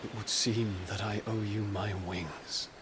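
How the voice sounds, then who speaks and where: Loud rushing ambience surrounds a slow, low-voiced British prince, acted by a man from a fantasy game. He is proud, solemn and very formal. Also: fairly even intonation, background noise, lightly acted. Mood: solemn gratitude. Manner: formal, deliberate. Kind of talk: video game cutscene acting.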